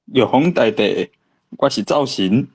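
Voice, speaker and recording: A man playing a household god pleading with heaven in an amateur folk play, read out briskly. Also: medium pitch, lively intonation, darkish tone, breathy edge.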